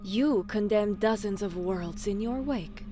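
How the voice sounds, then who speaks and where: A woman plays a shrewd alien military commander with a medium-pitched voice. She speaks slowly and formally, in clipped, guarded phrases. It is science fiction audio drama, with music running behind her. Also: lightly acted. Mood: cool, wary. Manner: measured, terse, formal.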